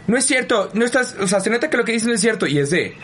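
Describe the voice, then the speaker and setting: A man's voice: very high-pitched, animated, fast, fairly bright tone, husky. A man with a light northern Mexican accent, chatting casually and comedically on a podcast, slangy and foul-mouthed. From Monterrey, Mexico. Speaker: podcast guest.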